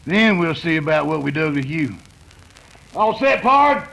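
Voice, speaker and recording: High, gravelly Western outlaw boss played by a man. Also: sing-song, measured pace, fairly bright tone, slight background noise, full character voice, rough recording. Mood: threatening. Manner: folksy, ungrammatical cowboy talk. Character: bossy Western cattle-rustling outlaw gang leader. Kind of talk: old-time radio western acting.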